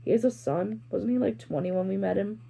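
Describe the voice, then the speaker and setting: A woman's voice: medium pitch, a little fast, creaky, warm tone, slightly rough. A woman voicing a blunt, incredulous teenage boy in an amateur fan fiction reading.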